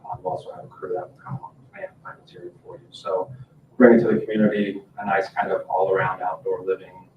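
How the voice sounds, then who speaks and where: A man's voice: low voice, level tone, quick pace. An American man giving an informal, matter-of-fact business presentation at a public meeting. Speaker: garden center business owner presenting to a town council. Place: indoor town council meeting room. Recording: muffled, echoey meeting room, distant mic, noise.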